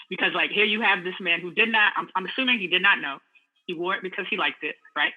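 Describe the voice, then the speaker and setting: A woman's voice: fairly low pitch, fast, in a large hall, dull audio. A woman in a casual discussion, talking bluntly with laughing asides.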